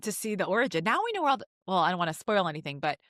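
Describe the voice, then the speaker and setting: A woman's voice: on the low side, big pitch swings, rapid-fire, a bit bright, no echo, studio quality. A woman co-hosting a podcast, joking and riffing in a casual, playful way. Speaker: podcast co-host. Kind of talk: casual comedy chat, riffing.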